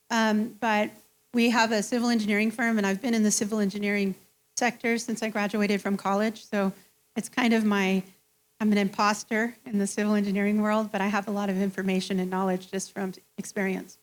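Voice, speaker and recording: A woman's voice: mid-range voice, fairly even intonation, fairly quick. A woman with a mid-range voice speaks as a co-presenter. Her style is informal, as in a self-introduction before an audience. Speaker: co-presenter, geoscientist at engineering firm.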